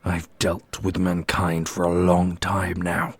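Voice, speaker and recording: A man playing a brooding, commanding immortal prince in an ASMR fantasy roleplay, speaking gravely and deliberately with a gravelly, husky voice. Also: sits low, completely flat delivery, no room sound, heavily acted. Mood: grave, resolute.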